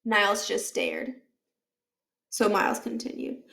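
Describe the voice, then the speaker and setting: A woman narrating a children's novel aloud in an expressive storytelling style. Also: mid-range voice, a little slow, vocal fry.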